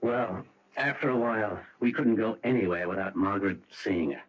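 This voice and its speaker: An actor playing an anguished, insistent husband in an old-time radio drama. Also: male voice, on the low side, moderate pace, heavy background noise, close to an everyday voice. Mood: subdued, stubborn. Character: anguished husband insisting he has relived his past. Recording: dull old radio recording with music.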